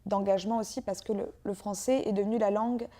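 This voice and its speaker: A woman from France answers interview questions in a soft, mellow voice, pausing often as she thinks aloud. Her pitch sits in the middle for a woman. She speaks as a guest author and poet in a relaxed, unscripted conversation. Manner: hesitant, frequent filler pauses.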